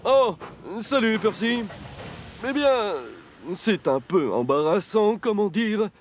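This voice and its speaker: A man's voice: high-pitched, animated, slow, slight background noise. A man voicing a friendly, eager rescue helicopter in a French cartoon, earnest and chatty. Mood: sheepish. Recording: loud music behind the voice.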